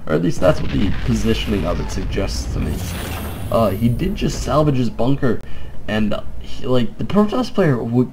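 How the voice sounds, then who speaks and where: A young man with a stuffed-up nose, giving mellow, quiet commentary on a strategy game with joking asides. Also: mid-range voice, creaky, slight background noise, poor audio. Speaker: game caster. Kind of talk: laid-back game commentary.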